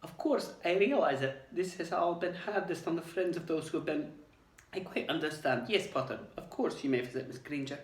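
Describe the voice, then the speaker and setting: A man voicing a stern older female professor who speaks in a croaky, clipped, formal way. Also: a little high, normal speed, voice pushed up, natural voice. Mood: choked, formal. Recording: roomy, distant mic.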